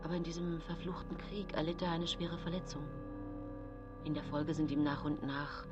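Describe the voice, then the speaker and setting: A woman voicing a grim, blunt male doctor who speaks gravely and in clipped phrases in a radio drama. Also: low-pitched, fairly even intonation, normal speed, muffled recording, loud music behind the voice, lightly acted. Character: grim, blunt male country doctor delivering bad news.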